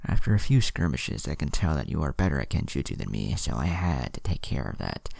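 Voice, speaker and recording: A man voicing a gentle, resolute teenage girl ninja in an earnest, explanatory way, for a story. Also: a bass voice, big pitch swings, brisk, creaky, dry recording, exaggerated voice. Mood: quietly confident. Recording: music playing behind the voice.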